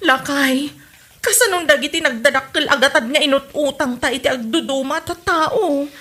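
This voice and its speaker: A woman's voice: sits high, moderate pace, pushed and bright. A woman acting a fretful wife of a defeated candidate in a comedy radio drama.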